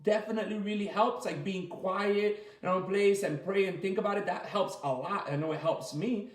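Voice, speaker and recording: A man's voice: high voice, brisk. A man leading an interactive story time for children as a coach, chatty and encouraging, explaining things simply with plenty of repetition. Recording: echoey room.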